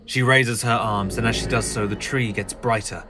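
A man's voice: on the low side, fairly even intonation, moderate pace, music in the background. A man reading scripted narration for an audio drama.

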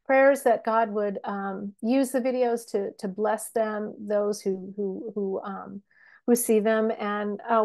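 Woman guest, mid pitch, heavy vocal fry, halting casual talk full of restarts. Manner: warm, halting, frequent restarts and fillers. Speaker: podcast guest, Bible teacher and author. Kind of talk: casual interview chat.

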